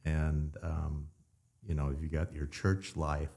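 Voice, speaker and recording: Very deep-voiced man, halting questions. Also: fairly even intonation, vocal fry, mellow. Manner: halting, restarts questions, friendly. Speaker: podcast host. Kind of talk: casual interview questions.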